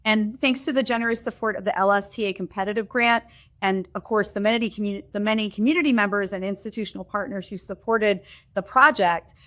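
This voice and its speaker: A woman, a library development director, hosting the discussion and reading prepared remarks in a measured, formal way. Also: medium pitch, fairly bright tone.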